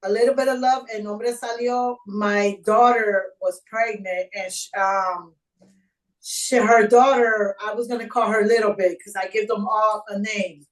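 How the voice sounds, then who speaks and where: Middle-aged woman guest, slow and casual, heard from some distance. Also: medium pitch, steady tone. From Puerto Rico. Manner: casual, informal speech. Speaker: guest, community organizer. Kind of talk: casual interview answer. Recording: voice away from the mic.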